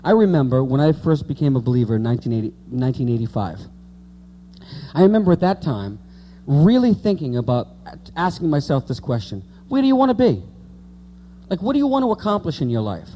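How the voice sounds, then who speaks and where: A man's voice: mid-range voice, very animated, fairly quick, mellow, slight background noise. A man in his late thirties preaching an informal evangelical sermon, repeating key words for emphasis and speaking conversationally to the congregation. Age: late 30s.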